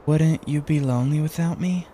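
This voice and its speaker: Man voicing soft, mellow young boy. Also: fairly high pitch, normal speed, a little hiss or hum, close to an everyday voice. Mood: hopeful, teasing. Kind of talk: anime-style manga dub acting.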